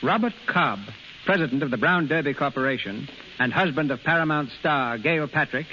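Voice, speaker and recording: A man presents an old-time radio show from a script. His voice is fairly high and forceful, with formal, polished delivery. The 1930s recording is dull and faintly hissy. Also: animated, moderate pace.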